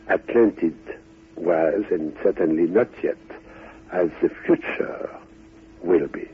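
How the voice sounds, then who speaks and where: Very husky, slow Frenchman on old radio. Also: sits low. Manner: halting, deliberate, in short phrases. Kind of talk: unscripted expository talk. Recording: tinny, band-limited radio recording.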